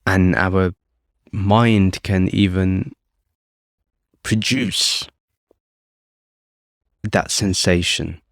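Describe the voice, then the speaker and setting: Slow, low-voiced man guiding meditation. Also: no echo, clean studio sound. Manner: calm, unhurried, with long pauses between short phrases. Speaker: podcast host leading a guided meditation. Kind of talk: guided meditation, unscripted instruction.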